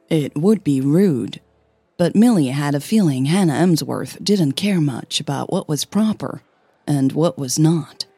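A woman with a low, mellow voice tells a scripted story at an unhurried pace. Her delivery is measured and set out phrase by phrase, as a podcast narrator reading fiction. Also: vocal fry, breathy edge, no room sound.

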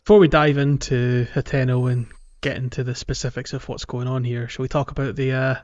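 A man's voice: mid-range voice, level tone, brisk, darkish tone. A man co-hosting a video game podcast in a casual, chatty style. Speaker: podcast co-host. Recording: dull lo-fi with background music.

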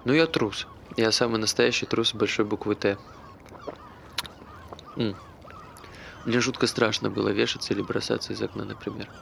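Anxious self-deprecating man, creaky voice, hesitant earnest delivery, naturalistic stage drama, faint background noise. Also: on the low side, normal speed, long pauses, fairly bright tone. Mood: nervous, confessional. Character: anxious, self-deprecating man recounting his failed suicide.

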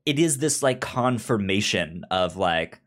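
Man chatting casually, halting and restarting. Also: on the low side, lively intonation. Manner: halting, repeats and restarts words; acts out imagined lines as he explains. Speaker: podcast co-host. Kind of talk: casual comic book review chat.

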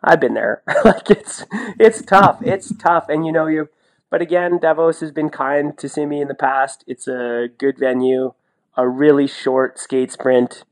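A man's voice: fairly high pitch, steady tone, measured pace. A man chatting casually as a sports podcast co-host, talkative and colloquial, often repeating himself for emphasis. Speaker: podcast co-host and sports commentator, former athlete.

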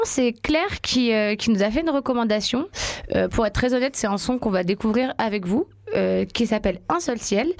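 A woman's voice: on the high side, darkish tone, no echo. The co-host you would hear between tracks on a local radio music show: a woman chatting informally and a bit hesitantly into a close mic in a dry studio. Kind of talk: casual music show presenting.

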